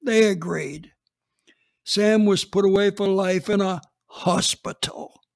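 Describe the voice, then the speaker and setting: A man's voice: high-pitched, slow pace, gravelly, heavily acted. An actor playing a blunt, unapologetic retired police chief in his eighties, recounting a case in a radio drama. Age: 80s. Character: gruff old male retired police chief.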